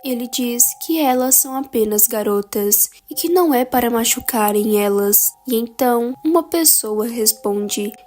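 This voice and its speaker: A woman narrating a recap of a horror video series in a steady, explanatory way. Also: fairly high pitch, moderate pace, hardly any pauses.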